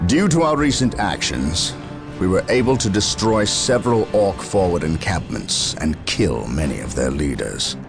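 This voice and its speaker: Stern veteran space marine commander, low voice, varied intonation, formal and authoritative, game cutscene. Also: male voice, sing-song, music in the background. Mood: grave briefing. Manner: formal, authoritative, measured military diction. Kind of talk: video game cutscene acting.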